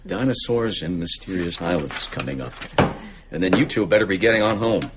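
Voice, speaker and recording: A man's voice: sits low, expressive, a little fast. A man playing a weary, tender, bookish ageing father in a radio drama, musing and melancholy. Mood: fond, musing. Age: middle-aged. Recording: muffled, noisy radio drama recording.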